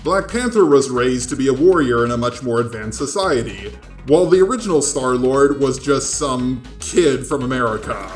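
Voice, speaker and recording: A man's voice: mid-range voice, animated, moderate pace. A man delivering scripted video commentary in an emphatic, sarcastic and incredulous manner. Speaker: video essayist host. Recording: roomy echo, music behind. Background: background music.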